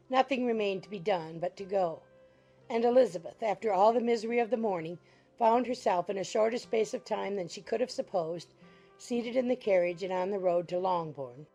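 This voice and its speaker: A woman with a mellow, medium-pitched voice narrates a classic novel. It is scripted audiobook storytelling, with faint music underneath. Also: low-quality recording.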